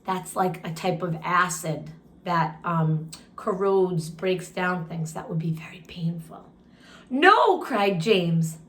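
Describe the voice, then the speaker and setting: A woman reads a storybook aloud for children at a slow, deliberate pace. She tells it expressively and breaks off to add her own comments and questions. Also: lowish voice, fairly even intonation. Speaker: storytime reader and teacher.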